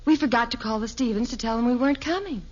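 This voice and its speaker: An actress playing a gentle, conscientious middle-aged American wife and mother in a 1950s radio sitcom. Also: fairly high pitch, fast, close to an everyday voice. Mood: concerned. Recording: muffled, noisy vintage radio recording with clicks.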